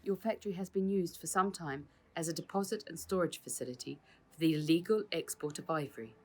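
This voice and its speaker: A formal storybook police officer, voiced by a woman, low and slightly husky. The delivery is official, measured and unbending. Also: darkish tone, close to an everyday voice. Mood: stern, official.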